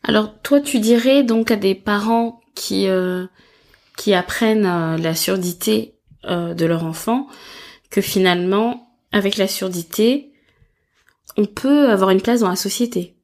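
Woman host asking gentle questions. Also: mid-range voice, unhurried. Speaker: podcast host, interviewer. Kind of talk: conversational interview.

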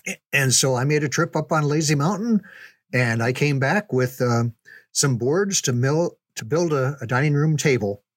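An older man reminiscing in a casual, folksy way, unhurried, with pauses for emphasis. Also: fairly high pitch, expressive, no room sound, clean studio sound. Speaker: podcast storyteller, reminiscing father. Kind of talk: casual conversational storytelling.

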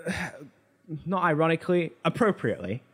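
A man's voice: on the high side, big pitch swings, brisk, a bit bright. A man co-hosting a pop culture talk show, discussing things in a casual, conversational way. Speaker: podcast co-host.

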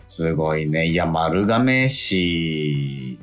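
A man hosting a radio show, chatting casually and reading out letters in a polite, animated way with frequent interjections. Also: very deep voice, drawn-out words. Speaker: radio show host and actor. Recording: music playing faintly behind. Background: background music.

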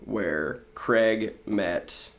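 A young man hosting a podcast, easygoing and quick to react, swearing casually. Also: lowish voice, vocal fry. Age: young adult. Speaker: podcast host. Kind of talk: casual chat.

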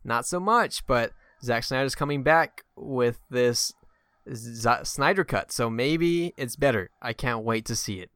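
Bright, clear-voiced man talking movies. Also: medium pitch, expressive, a little slow, dry recording, studio quality. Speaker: film podcast host. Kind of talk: casual film discussion.

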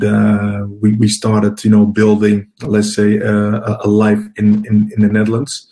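A man in his forties from Ghana, speaking as an interview guest. His voice is low, dark and mellow, and it barely rises or falls. He talks haltingly, filling gaps with "um" and "you know" and repeating words as he goes. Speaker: guest, HR professional. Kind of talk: casual interview answers.

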